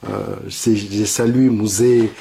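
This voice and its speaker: A man interviewing a guest, speaking hesitantly and haltingly with many fillers. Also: lowish voice, flat delivery, creaky, mellow, slight background noise. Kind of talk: casual interview.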